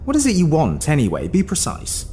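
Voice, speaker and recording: An actor playing a powerful, officious gatekeeper in an audio drama, teasing and condescending with mock politeness. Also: male voice, fairly high pitch, wildly swooping pitch, brisk, dark tone, faint music behind. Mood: exasperated.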